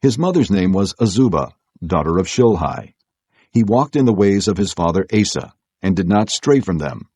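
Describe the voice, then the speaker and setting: A man narrating an audio Bible as scripted storytelling, in a measured, solemn way. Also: low-pitched, sing-song, a little mellow, breathy edge, dry recording, clean studio sound.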